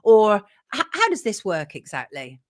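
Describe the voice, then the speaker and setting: Lively effusive woman host. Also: mid-range voice, moderate pace. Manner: chatty and effusive, reads viewer comments aloud. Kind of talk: live-stream talk show chat.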